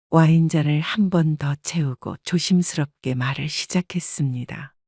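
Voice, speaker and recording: A woman narrating a scripted first-person family story in a calm, reflective tone. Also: sits low, dry recording, clean studio sound.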